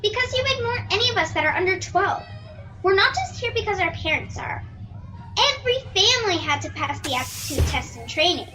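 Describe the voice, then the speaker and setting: A cheeky, defiant little girl acted by a female performer in a sci-fi radio drama. Her voice is extremely high and bright, swinging up and down in a lively way as she pertly argues. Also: fairly quick, heavily acted, rough recording. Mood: defensive, pert. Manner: pert, argumentative. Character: cheeky, defiant little sister, under twelve. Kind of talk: sci-fi radio play acting.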